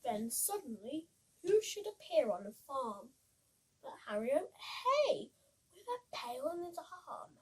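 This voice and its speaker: Female storybook reader, very varied intonation, halting delivery, animated, distant mic. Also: high voice. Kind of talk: animated children's story read-aloud. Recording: voice far from the mic.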